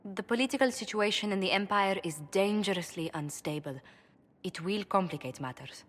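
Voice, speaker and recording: Actress as game diplomat: composed, careful, formal, medium register, long reverb. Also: female voice, mid-range voice, moderate pace, close to an everyday voice. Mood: grave, urgent. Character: poised, formal female diplomatic adviser. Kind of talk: video game voice acting.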